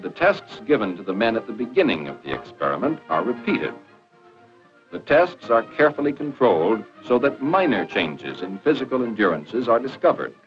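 An American man reads scripted 1940s educational film narration in a plain-spoken, folksy, instructive manner. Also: medium pitch. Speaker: narrator of an army training film. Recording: dull lo-fi 1940s film soundtrack.

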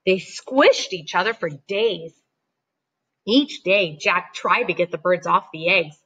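A middle-aged woman reading a storybook aloud to children in an expressive, deliberate way, like a teacher. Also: fairly low pitch, husky, muffled recording. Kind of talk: animated storybook read-aloud. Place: living room at home, indoors.

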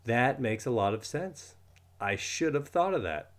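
A man voicing an excitable, gullible red-haired schoolboy in a storybook, easily outraged and drawing out his words. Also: medium pitch, unhurried. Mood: convinced.

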